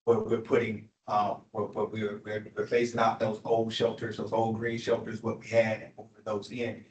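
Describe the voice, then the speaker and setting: A man's voice: fairly low pitch, monotone, normal speed, muffled recording. A man presenting at a public meeting, rambling and restarting his sentences as he explains. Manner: rambling, self-correcting. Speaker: transit agency presenter. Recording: echoey meeting room.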